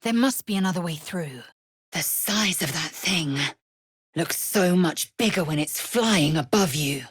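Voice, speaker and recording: A haughty, militant young alien warrior woman for a video game. Her voice is low, husky and breathy, recorded close and dry. She speaks slowly and formally, with imperious, clipped disdain. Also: expressive, dry recording. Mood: cool, measured.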